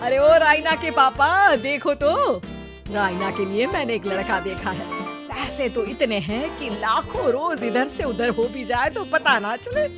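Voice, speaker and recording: A woman's voice: high-pitched, sing-song, unhurried. A woman playing a greedy, scheming middle-aged mother in a cartoon story, with a dramatic, scolding and wheedling manner. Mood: insistent. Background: background music.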